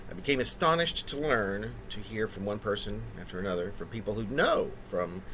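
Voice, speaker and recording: A man in his fifties, a poet talking casually and reading his own work in a halting, pausing way. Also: on the low side, animated, sharp tone. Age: 50s. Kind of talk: casual spoken preamble and poetry reading. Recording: noticeable background room noise.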